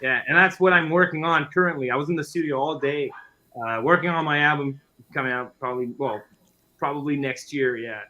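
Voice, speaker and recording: A man's voice: on the high side, fairly quick, sharp tone, lo-fi sound. A man with a French Canadian accent, a native French speaker, chatting as a guest musician in a friendly, rambling way. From Quebec, Canada. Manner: friendly, chatty, often restarting phrases. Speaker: guest musician and guitar teacher. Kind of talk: casual interview chat.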